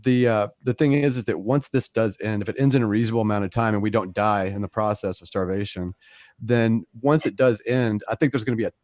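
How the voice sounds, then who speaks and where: A man's voice: on the low side, fast talker, darkish tone, no room sound. A man chatting casually on a group call, rambling and joking with some swearing. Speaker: actor in an online radio drama group.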